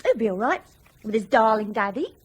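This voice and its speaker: A woman's voice: fairly high pitch, big pitch swings, fairly quick, breathy edge. An actress playing a selfish, defiant mother in a radio drama, mocking and scornful. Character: selfish, defiant mother abandoning her family.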